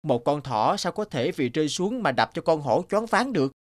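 A man's voice: fairly high pitch, big pitch swings, moderate pace, no echo, studio quality. A man playing an earnest, determined young farmer son in a cartoon, explaining things in earnest. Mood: analytical. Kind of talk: animated cartoon dialogue. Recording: background music under speech.

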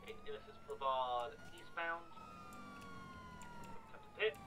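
Animated man calling a pursuit over loud sirens. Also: a little high, unhurried. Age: adult. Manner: clipped, terse phrases. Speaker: police officer player in an online roleplay game. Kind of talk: live radio-style pursuit callouts. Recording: very noisy, sirens and engines, distant mic. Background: police sirens and vehicle noise from the game.

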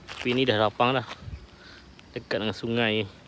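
Casting: inquisitive Malaysian food vlogger, medium-pitched voice, casual chatty commentary over light cafe noise. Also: fairly even intonation, moderate pace, a bit bright. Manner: chatty, inquisitive, repeats answers back. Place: walking outside and inside a roadside cafe. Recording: noisy handheld recording with cafe ambience.

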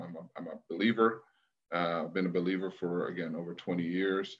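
Guest, middle-aged man, very low voice, measured and reflective, muffled call audio. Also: fairly bright tone. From Guyana, raised in Brooklyn. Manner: thoughtful, measured, with restarts. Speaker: guest, leadership trainer. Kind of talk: conversational interview. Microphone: video call.

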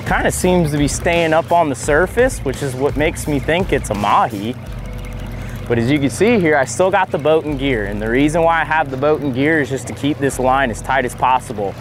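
A man in his thirties, a fishing vlogger, talking casually and excitedly on camera from a small boat on the open ocean, recorded on a boat-mounted camera. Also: a little high, a little fast, soft background music. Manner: excited, breaks into whoops and laughs while fighting fish.

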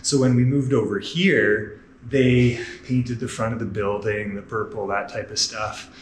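Guy in his 30s, relaxed and matter-of-fact, lots of ums, big echoey gym room. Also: lowish voice, measured pace, a little hiss or hum. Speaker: gym owner, guest. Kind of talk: casual storytelling on a walking tour. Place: large indoor gym with murals.

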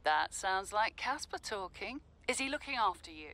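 A woman's voice: mid-range voice, animated, normal speed, pushed and bright. A woman playing a proud, doting middle-aged mother, gushing and playfully teasing on a call in a video game. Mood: gently curious. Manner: gushing, affectionate.

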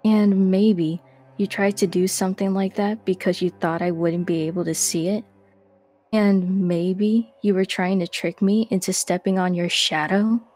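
A woman playing a slow, sly young woman. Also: medium pitch, fairly even intonation, dark tone, soft background music, natural voice. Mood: insinuating. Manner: slow, insinuating, teasing. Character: coy, probing young woman, calm and suspicious. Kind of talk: fan dub of a comic.

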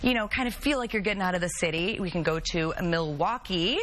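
A woman in her thirties from the Chicago area, a guest on a TV talk show, telling stories in a chatty, animated way on a studio set. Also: on the low side, brisk. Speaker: guest, travel and music blogger. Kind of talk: casual talk-show chat.

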